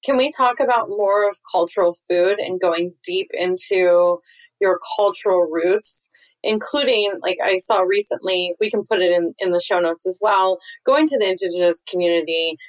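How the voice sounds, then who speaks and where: A woman's voice: on the low side, moderate pace, rough recording. A woman hosting a casual interview, asking long, loosely strung questions. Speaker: podcast host.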